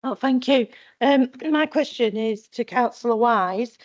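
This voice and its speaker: A woman with a croaky voice asking a brief, polite question as a councillor at a council meeting. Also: on the high side, measured pace, highs cut off.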